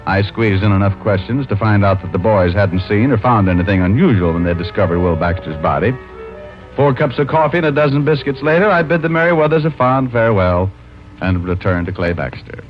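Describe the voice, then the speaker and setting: Wry low-voiced American radio detective played by a man. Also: brisk, close to an everyday voice. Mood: wry narration. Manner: wry, glib, self-mocking. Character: wisecracking male private detective, narrating his own case. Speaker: lead actor and narrator. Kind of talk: 1940s-50s radio detective acting. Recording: dull old radio recording, music behind.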